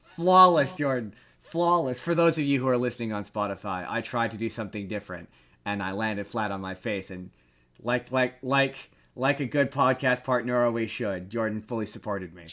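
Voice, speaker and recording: A man's voice: medium pitch, normal speed, pushed and bright. A man hosting a podcast with casual comedic chat, talking animatedly and repeating phrases for comic emphasis. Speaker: podcast host. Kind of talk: casual comedic chat about pop culture.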